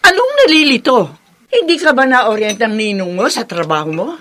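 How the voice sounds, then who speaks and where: A woman's voice: fairly high pitch, expressive, moderate pace, a bit bright, a little hiss or hum. A woman acting an exasperated, berating mother in a family drama, with a Filipino accent. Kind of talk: acted family drama dialogue.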